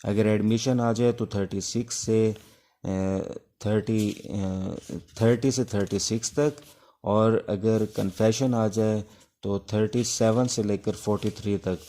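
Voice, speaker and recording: Male Pakistani law teacher, low and soft-edged dark tone, explanatory, slightly halting delivery for an informal lecture. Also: fairly even intonation. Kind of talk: informal exam-prep lecture in Urdu mixed with English legal terms.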